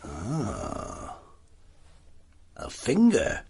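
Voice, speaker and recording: An actor playing a shrewd, wry police inspector in a radio drama, gruff and husky, with a dry, knowing delivery. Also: male voice, mid-range voice, wildly swooping pitch, very slow, darkish tone, a little hiss or hum, heavily acted.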